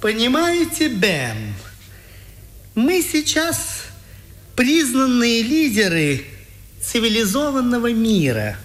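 An actress playing a haughty, calculating official in a 1950s radio drama, declamatory and commanding. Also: female voice, fairly high pitch. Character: haughty, calculating occupation official preaching a civilizing mission.

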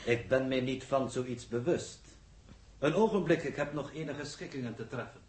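A man's voice: mid-range voice, moderate pace, noisy background, close to an everyday voice. A man playing a stiff, pompous, coolly correct subordinate with stilted, precise diction, in 1960s radio drama style. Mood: coolly formal.

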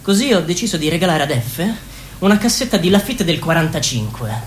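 Jittery, hesitant regime official, echoey. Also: female voice, sits low, very animated, fairly quick. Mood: flustered. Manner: hesitant, stammering. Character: anxious, self-effacing male political expert in a regime. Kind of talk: theatrical radio drama monologue. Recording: echoey room with background noise.

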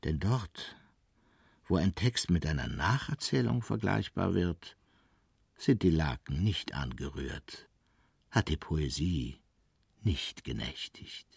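An actor playing an agitated, evasive witness in a German radio play, hesitant, testy and given to digressions. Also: male voice, a bass voice, expressive, slow, creaky, pauses often, husky, dry recording, clean studio sound, full character voice. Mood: rapt. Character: evasive, agitated, rambling male witness, enthusiast of poetry.

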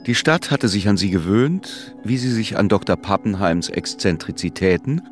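A man narrating a literary radio drama in a measured, sober manner. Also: fairly low pitch, faint music behind, natural voice. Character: measured, literary narrator of a small-town tale.

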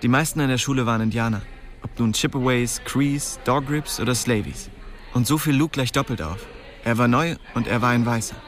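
A man's voice: medium pitch, brisk, close to an everyday voice. An actor playing a wry young man who tells the story of his small-town youth in slangy radio drama narration. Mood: wry storytelling. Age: young adult. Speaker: narrator and main character.

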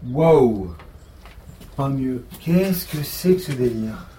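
A sarcastic, exasperated investigator in an audio drama. His mellow, medium-pitched voice comes from a little way off the microphone, with faint noise. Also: big pitch swings, takes it slowly. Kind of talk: naturalistic French audio fiction acting. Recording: distant from mic, faint noise.

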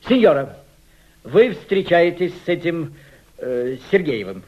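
An actor playing an imperious, cold Italian fascist conspirator in a Soviet radio drama, giving clipped theatrical orders. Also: male voice, a little high, big pitch swings, takes it slowly, darkish tone, heavily acted. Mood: impatient, commanding. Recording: dull lo-fi radio play recording.

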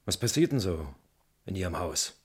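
An actor playing a reserved, probing man making official inquiries in a radio play, his voice husky and creaky. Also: sits low, very animated, moderate pace, heavily acted. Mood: guarded. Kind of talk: German radio play acting.

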